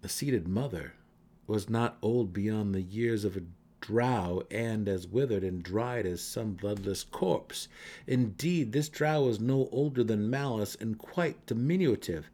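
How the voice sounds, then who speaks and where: A man's voice: lowish voice, a little slow, warm tone. A man narrating a fantasy audiobook dramatically, with theatrical emphasis.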